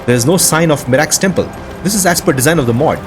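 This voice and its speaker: A man's voice: fairly high pitch, expressive, fast talker. A man hosting gameplay commentary on game mods in an enthusiastic, appreciative way. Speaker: host of a game modding news show. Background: prominent game music.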